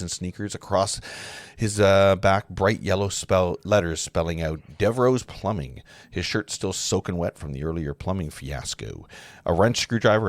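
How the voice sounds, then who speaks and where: A man narrating a film script at a live table read, halting and often correcting himself. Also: low-pitched, no echo. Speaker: narrator reading stage directions.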